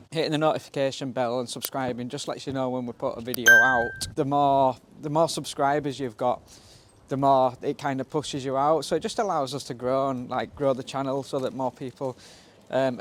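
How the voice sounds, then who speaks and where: A man's voice: mid-range voice, fairly bright tone, slight background noise. A young man chatting in a friendly, upbeat way on a travel vlog. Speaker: vlogger. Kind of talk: casual travel vlog chat.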